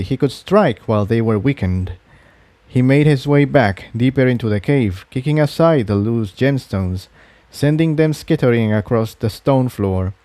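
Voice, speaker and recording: A man's voice: mid-range voice, mellow, no echo. A man narrating a written adventure story as a reader of fan fiction.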